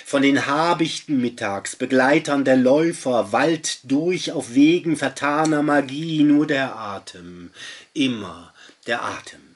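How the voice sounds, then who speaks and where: A man in his fifties with a husky voice gives a solemn, incantatory poetry recitation with deliberate pauses. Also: mid-range voice. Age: 50s. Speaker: poet reading own work. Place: small book-filled study indoors by a window. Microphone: webcam or desk mic at close range.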